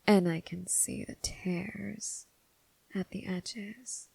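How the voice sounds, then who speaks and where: A woman voicing a bored, mocking female demon in an ASMR roleplay, half-whispering in a languid, condescending way with a husky, creaky voice. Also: medium pitch, fairly even intonation, dark tone, no echo, exaggerated voice, low-quality recording. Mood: probing, condescending. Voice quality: breathy, husky, with creaky fry. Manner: languid, condescending, drawn-out phrasing with sighs.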